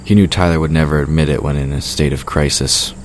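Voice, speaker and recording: Fantasy audio drama narrator: male, bass-deep voice, scripted storytelling, noticeable ambient noise underneath. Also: fairly even intonation, quick pace. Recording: clearly noisy background ambience. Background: outdoor ambience sound effects.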